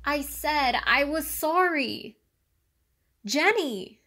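A woman's voice: sits high, animated, heavy background noise, exaggerated voice. A woman playing an awkward, pleading co-star in an amateur radio-play commercial. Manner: halting, pleading.

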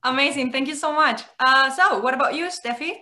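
A young woman from Spain, moderating an online panel over a video call, quick and upbeat. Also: sits high, pushed and bright. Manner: quick, upbeat, laughs often. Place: small room indoors. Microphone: laptop webcam on a video call.

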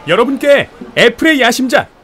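A man's voice: very high voice, big pitch swings, moderate pace, a bit bright, slight background noise, full character voice. An actor playing a confident, ambitious young male inventor in a children's audio storybook, earnest and emphatic. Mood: proud presenting.

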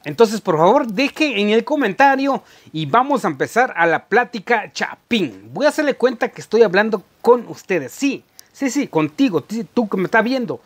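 A middle-aged man, chatting casually to camera in a halting, repetitive way as a vlogger. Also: sits high, very animated. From Guatemala. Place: indoor room at home.

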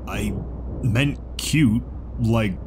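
Man acting as bashful, slow-spoken zebra stallion. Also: on the low side, background noise. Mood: hesitant. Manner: hesitant, bashful, halting. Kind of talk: audio drama acting.

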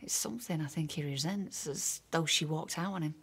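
An actress in a radio drama playing a wounded, suspicious estranged wife with a husky voice and dry sarcasm. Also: female voice, sits low, brisk, fairly bright tone, heavily acted. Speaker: actor.

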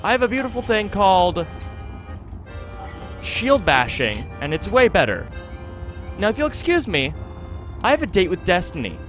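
A young man hosts a let's play with playful, rambling commentary. His voice sits high for a man and moves around in lively swings, with some game music behind it. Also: normal speed, long pauses. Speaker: gaming video host. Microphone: desktop condenser microphone.

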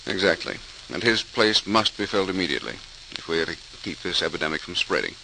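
An actor playing a grave, authoritative senior hospital doctor in an old-time radio drama, formal and commanding. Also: male voice, sits low, animated, fast, gravelly, pushed and bright, exaggerated voice, low-quality recording. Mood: grave, brisk. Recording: old radio recording, faint hiss.

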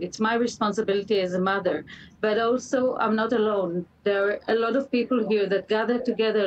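A middle-aged woman with an Israeli accent speaks haltingly and earnestly in an interview, repeating words for emphasis. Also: mid-range voice, recorded from a distance, dull audio. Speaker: interview guest, mother of a hostage. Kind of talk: emotional live TV interview. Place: indoor room, live remote video link. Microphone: computer video call.

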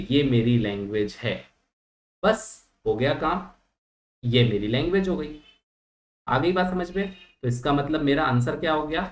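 A young man teaching an online class in mixed with terms, patiently reassuring students and checking that they follow. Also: mid-range voice. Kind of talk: online lecture at a digital whiteboard. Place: small room indoors. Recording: roomy echo.

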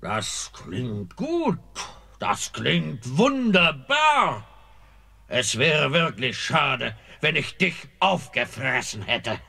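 A greedy old sea monster from a storybook radio play, slow and drawling, with a gravelly, rasping edge to his voice. His pitch leaps wildly and his tone is bright and forceful. He bursts into booming blasts of bluster. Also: sing-song, soft background music, exaggerated voice. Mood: charmed. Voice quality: gravelly, husky. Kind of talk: German fairy-tale radio play.

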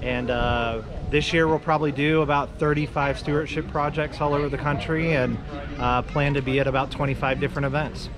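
A man in his thirties explaining his nonprofit at an outdoor expo tent, steady and organized with frequent uhs. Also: mid-range voice, a little fast, a crowd in the background. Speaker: nonprofit representative. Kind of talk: informative interview answers. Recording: loud music in background.

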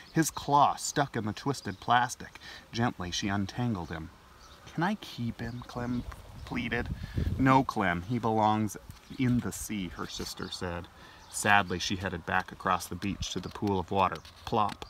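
A man in his thirties hosting storytime for young children outdoors, with an animated, gentle and expressive delivery. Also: fairly low pitch, creaky. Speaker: library storytime host. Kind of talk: read-aloud storytelling and chat for young children. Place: outdoors in a leafy garden. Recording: outdoor background noise.

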